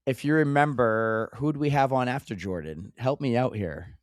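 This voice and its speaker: Hoarse man co-hosting a podcast. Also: medium pitch, moderate pace, no echo, studio quality. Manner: excitable, laughing, stumbling restarts. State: hoarse, losing his voice. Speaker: podcast co-host. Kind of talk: casual chat.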